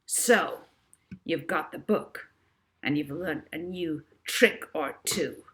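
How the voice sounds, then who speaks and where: A woman's voice: low voice, slow, a little hiss or hum. A woman voicing an aggressive, scheming male professor villain who speaks in slangy, threatening demands in a storybook reading. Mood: muttering, menacing.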